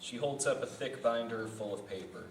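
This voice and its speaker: A man's voice: mid-range voice, moderate pace. A man reading scripted narration and stage directions as the narrator of a table read. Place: indoor festival venue. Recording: distant echoey room with background noise.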